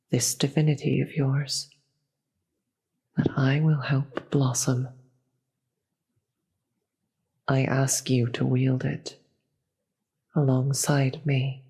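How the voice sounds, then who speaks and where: Demon queen played by a voice actor for fantasy audio drama: regal, persuasive, very slow and measured, husky with whispered edges. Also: mid-range voice, darkish tone. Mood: earnest, inviting. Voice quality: breathy, often dropping into whisper. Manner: slow, formal, measured, grave. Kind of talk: scripted fantasy audio roleplay monologue.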